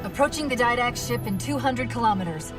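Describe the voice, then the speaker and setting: A woman playing an alert artificial intelligence navigator in a video game, giving brisk, urgent tactical reports. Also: on the high side, animated, close to an everyday voice. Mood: urgent, focused. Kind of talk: video game cutscene acting. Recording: loud music over the voice.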